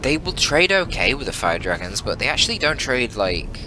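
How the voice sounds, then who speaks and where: A man's voice: medium pitch, very animated, moderate pace, over music, low-quality recording. A man giving live strategy game commentary as a caster, analytical and conversational with casual swearing. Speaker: esports caster and channel host.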